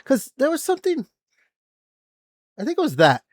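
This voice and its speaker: A man's voice: extremely high pitch, huge pitch swings, normal speed, dry recording. A man in his forties hosting a casual gaming podcast, rambling with lots of fillers and the odd swear. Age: 40s. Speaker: podcast host. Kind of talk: casual gaming news chat.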